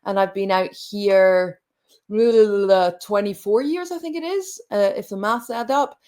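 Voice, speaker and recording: A woman's voice: mid-range voice, darkish tone. Reflective woman in her late forties. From Scotland. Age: late 40s. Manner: reflective, halting, many restarts. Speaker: coach, guest. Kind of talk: conversational interview.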